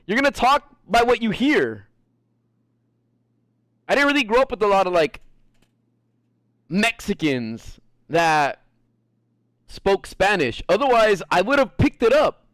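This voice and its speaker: An American man rambling to his stream audience in an animated, profane way, his high voice swinging up and down. The sound is close and dry, with no room around it. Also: unhurried, pauses often, no room sound. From United States. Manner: animated, profane, laughs often. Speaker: gaming streamer. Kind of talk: casual chat while gaming.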